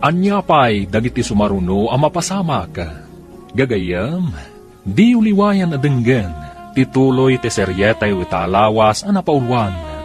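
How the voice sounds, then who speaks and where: A man announces a radio drama in a scripted, theatrical style. His mid-pitched voice rises and falls in lively sweeps, with prominent music behind. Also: measured pace. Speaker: announcer and narrator.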